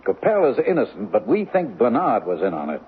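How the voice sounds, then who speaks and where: An actor playing a shrewd, suspicious American consul in an old-time radio drama, probing and wry. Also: male voice, lowish voice, wildly swooping pitch, brisk, breathy edge, full character voice. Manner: probing, matter-of-fact, wry. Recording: muffled old radio recording.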